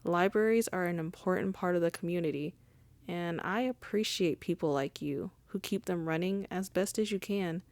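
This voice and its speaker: Woman doing a wry mafia boss lady for asmr roleplay, lowish voice, slow and teasing, really dry close sound. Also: darkish tone, dry recording, close to an everyday voice. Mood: apologetic, reassuring. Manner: wry, conversational, swearing, teasing endearments. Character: wry, charming, apologetic female mafia boss, seasoned criminal. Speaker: audio roleplay voice actor. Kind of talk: intimate ASMR roleplay acting.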